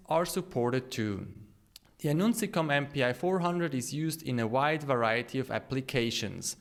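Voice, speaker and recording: A man reading a scripted promotional explainer as the narrator of a product video. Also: a little high.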